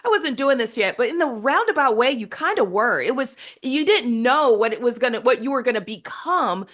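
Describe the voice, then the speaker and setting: A woman's voice: on the high side, animated, fairly quick, fairly bright tone. A woman hosting an interview, chatty and enthusiastic, asking long layered questions. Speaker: podcast host. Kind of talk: casual interview chat.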